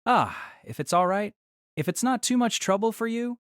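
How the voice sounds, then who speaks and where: A man's voice: sits high, expressive, moderate pace, a bit bright, smooth, dry recording, clean studio sound, pitched above the performer's own voice. A man voicing an earnest, polite and hesitant young student in an audio drama. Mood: shy, polite. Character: earnest, hesitant teenage student speaking to his grandparents.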